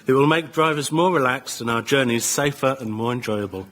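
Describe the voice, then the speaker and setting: A man presenting his idea as a show guest, politely and in a measured way. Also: mid-range voice, a bit bright. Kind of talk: prepared pitch and polite explanation.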